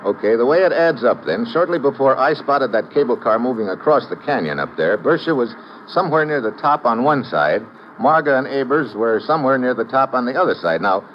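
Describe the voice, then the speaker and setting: A man's voice: medium pitch, expressive, fairly quick, hardly any pauses, a little hiss or hum, close to an everyday voice. A man playing a hard-boiled, sardonic investigator in classic radio adventure drama, with clipped, wry tough-guy delivery. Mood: probing, wry. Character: hard-boiled, sardonic male investigator telling his own case. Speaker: lead actor and narrator. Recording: muffled old radio recording, music behind.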